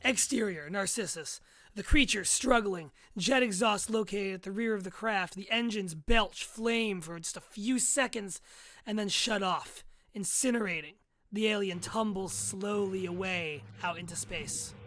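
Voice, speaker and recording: A woman narrates screenplay action as a stage directions reader. Her voice is bright and forceful. It is recorded dry, with hardly any room around it. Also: mid-range voice, measured pace, dry recording.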